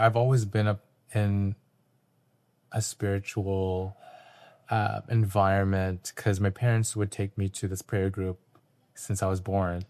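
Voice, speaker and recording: A young man from Canada, a guest artist, talking in a relaxed, reflective way with frequent ums. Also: on the low side. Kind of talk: casual interview talk.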